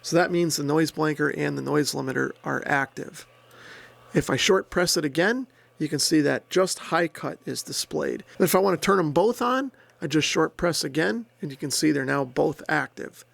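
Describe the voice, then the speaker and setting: Male host, product walkthrough. Plain-spoken, methodical, noticeable vocal fry, very dry indoor sound. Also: fairly high pitch, normal speed, fairly bright tone, no room sound. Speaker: host, radio reviewer. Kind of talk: step-by-step product demonstration. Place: indoor room.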